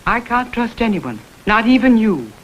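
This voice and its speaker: An actress playing a guarded, anxious institution director in an old crime drama, speaking formally and earnestly. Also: female voice, a little high, big pitch swings, normal speed, dull audio. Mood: pleading. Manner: formal, earnest, careful wording. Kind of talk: vintage American television crime drama acting. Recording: faintly noisy vintage film soundtrack.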